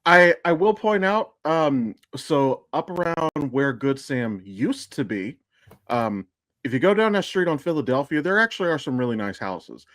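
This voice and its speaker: A man's voice: medium pitch, a bit bright, no room sound. An American man talking casually as a podcast co-host, blunt, self-deprecating and freely profane. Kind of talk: casual chat.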